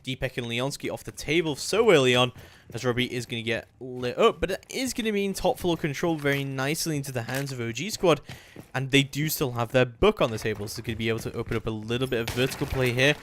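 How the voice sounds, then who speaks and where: A man's voice: mid-range voice, lively intonation, fast, fairly bright tone. Guy doing play-by-play for an esports game, some noise and game sounds behind him. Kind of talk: live video game match commentary.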